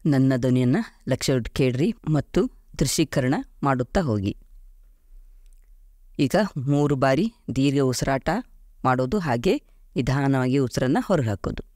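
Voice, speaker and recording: Slow, soothing male meditation guide. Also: medium pitch, a little mellow. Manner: slow, soothing, deliberate pauses. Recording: music behind the voice. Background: clear background music.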